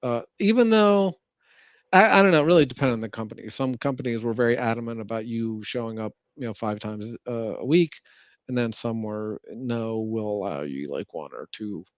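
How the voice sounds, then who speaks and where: An American man chats casually at a middling pitch. He speaks in a halting, conversational manner. The sound is very dry, with no room around the voice. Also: dry recording, highs cut off. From United States. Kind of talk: casual chat.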